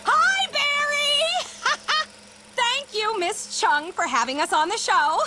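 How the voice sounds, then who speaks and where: A woman voicing a proud, doting middle-aged bee mother in an animated comedy game. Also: very high voice, slow pace, slight background noise, heavily acted. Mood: gushing, proud.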